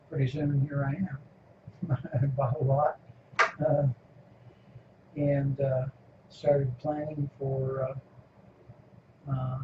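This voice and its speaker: An older man from California, an interviewee reminiscing casually in a halting, rambling way and chuckling often. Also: on the high side. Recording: echoey room, muffled lo-fi, noisy.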